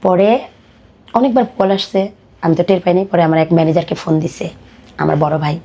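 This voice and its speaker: A woman with a Bangladeshi accent telling her personal life story as a podcast guest, in colloquial, halting speech. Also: lowish voice, dark tone.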